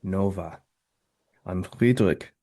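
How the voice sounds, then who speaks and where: A man's voice: low-pitched, takes it slowly, mellow. An actor playing a friendly young male love interest in a romantic comedy table read. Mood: smitten. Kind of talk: romcom table read acting.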